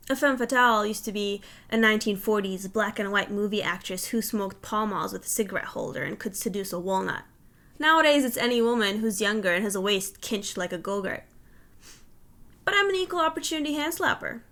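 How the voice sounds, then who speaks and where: A woman reading a humorous personal essay aloud in an expressive, emphatic, mock-stern way. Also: on the high side.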